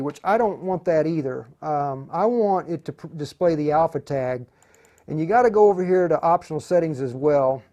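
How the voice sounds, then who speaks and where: A man with a slightly high voice leads an informal live tutorial. He thinks aloud in halting phrases, muttering to himself while he works and talking to viewers in a plain, reassuring way. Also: a little mellow. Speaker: tutorial host demonstrating radio programming.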